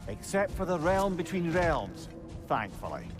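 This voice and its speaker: A man's voice: on the high side, sing-song, unhurried, over music. A man playing a witty, avuncular older talking head in a video game, a learned storyteller with a Scottish accent and wry, eloquent delivery. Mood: wry, knowledgeable. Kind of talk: theatrical video game acting.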